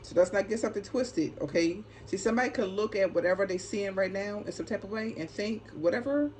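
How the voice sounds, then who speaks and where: A woman's voice: mid-range voice, brisk, darkish tone. Woman giving a card reading, noisy background. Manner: emphatic, repetitive, keeps checking in with short tags. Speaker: tarot reader and channel host. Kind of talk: unscripted intuitive card reading, casual spiritual talk. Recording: clearly noisy ambient background.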